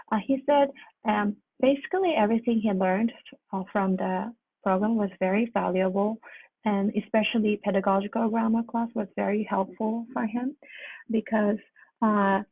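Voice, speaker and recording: Husky woman, phone-like sound. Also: medium pitch. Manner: halting, hesitant delivery with repeated phrases. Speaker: graduate student presenter. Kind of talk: academic presentation. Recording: muffled phone-like line, roomy echo.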